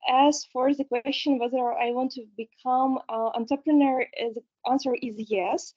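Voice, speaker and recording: A woman's voice: high voice, steady tone, moderate pace, a little mellow. A woman from Ukraine introducing herself carefully in class, with many hesitations. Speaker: student. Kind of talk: self-introduction. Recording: dull lo-fi audio.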